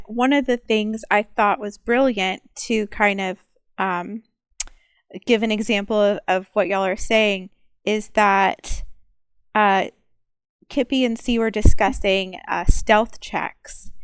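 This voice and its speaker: A woman with a smooth, clear voice at an average pitch, recorded very dry. She speaks politely and articulately, explaining things in a relaxed group conversation. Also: dry recording. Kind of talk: casual panel discussion.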